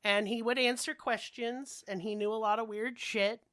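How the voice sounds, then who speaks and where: A woman's voice: on the high side, fairly even intonation, normal speed, fairly bright tone. A woman hosting a podcast while sick, telling stories in a casual, chatty, joking way with slang and swearing. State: sick, coughing.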